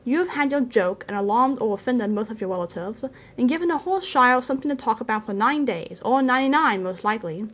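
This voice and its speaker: A woman voicing a grave old male wizard, stern and commanding, with a measured, advising manner in a storybook reading. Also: on the high side, animated. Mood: thoughtful, wry.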